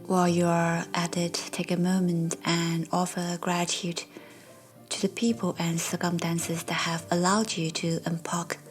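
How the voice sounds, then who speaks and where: A woman narrating a guided meditation in a soft, breathy, soothing way, as a meditation guide. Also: on the low side, little pitch movement, unhurried. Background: soft background music.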